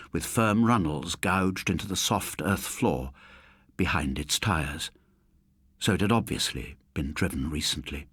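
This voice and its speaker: A man with a low, husky voice reads a suspense story as an audiobook narrator. His delivery is theatrical and measured, with very animated intonation. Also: no room sound, studio quality.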